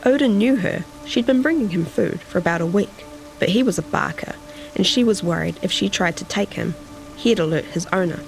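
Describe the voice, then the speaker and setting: A woman's voice: mid-range voice, animated, a little fast, gravelly, music in the background, low-quality recording. A woman journalist with a New Zealand accent, presenting a reported story for a podcast. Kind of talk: interview chat and scripted feature narration.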